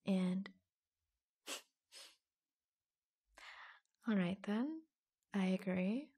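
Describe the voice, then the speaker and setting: Flirtatious secret office lover, played by a woman: teasing, intimate ASMR roleplay, husky half-whispers with vocal fry. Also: mid-range voice. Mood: playful. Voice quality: breathy, husky, often dropping to a whisper. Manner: slow, coy, teasing, giggly. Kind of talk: ASMR roleplay, intimate romantic audio drama.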